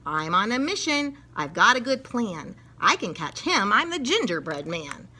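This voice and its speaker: A woman's voice: mid-range voice, very animated, normal speed, pushed and bright, slight background noise. A woman voicing an eager, plucky young gingerbread man, earnest and dramatic in rhyme, for a children's storybook. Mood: resolute.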